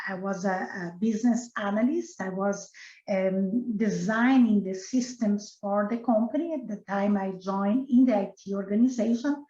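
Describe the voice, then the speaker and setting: A woman's voice: mid-range voice, a little mellow. Brazilian-accented woman, roomy dull sound. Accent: Brazilian Portuguese. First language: Portuguese. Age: middle-aged. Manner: frequent fillers and restarts, earnest. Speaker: guest. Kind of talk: casual interview.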